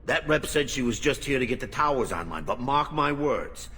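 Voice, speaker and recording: An actor playing a bitter, distrustful colony mechanic in a video game, with a gruff, sullen, grumbling manner. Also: male voice, mid-range voice, expressive, normal speed, fairly bright tone. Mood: bitter, grudging. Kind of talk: video game dialogue acting.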